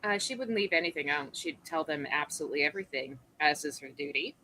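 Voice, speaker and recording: A woman's voice: low-pitched, fast talker, sharp tone, slight background noise, low-quality recording. A woman from Colorado playing a tabletop role-playing game, chatting in a playful, giggly way. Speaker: player. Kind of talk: casual tabletop chat.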